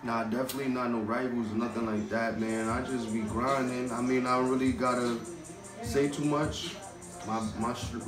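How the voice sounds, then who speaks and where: Laid-back New York rapper in echoey room. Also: male voice, mid-range voice, distant mic. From New York, Queens. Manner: laid-back street slang, loose and rambling. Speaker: rapper guest. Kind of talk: casual interview.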